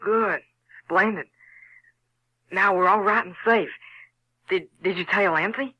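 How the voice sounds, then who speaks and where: A man playing a bold, excitable Southern boy in an audio drama, eager and insistent. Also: high voice, slow pace, lo-fi sound. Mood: proud, eager. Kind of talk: audio theater acting.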